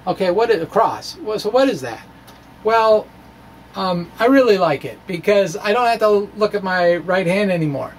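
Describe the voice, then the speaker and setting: Quirky, halting man over room noise. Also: sits high, lively intonation. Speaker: physics video blogger. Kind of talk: informal explanatory monologue, dramatic reading of own blog. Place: small room with bookshelves. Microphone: handheld-style microphone on stand in front of him. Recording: clear background room noise.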